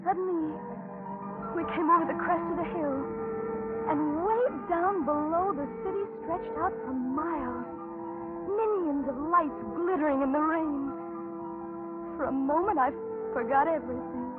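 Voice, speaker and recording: An actress playing a frightened, lonely young waitress, tense and hesitant, in a 1940s radio thriller. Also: female voice, high voice, measured pace. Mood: fearful, wistful. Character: frightened, lonely young waitress held captive, telling her ordeal. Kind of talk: 1940s radio thriller acting and first-person narration. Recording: tinny 1940s radio recording with music.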